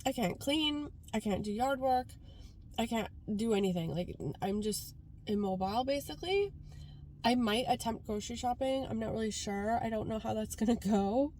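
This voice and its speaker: A woman's voice: on the high side, animated, moderate pace, darkish tone. Congested woman chatting casually, noisy background. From Canada. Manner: informal, rambling, frequent "like". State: congested from a cold. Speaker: vlogger. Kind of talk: casual chatty car vlog. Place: sitting inside a car.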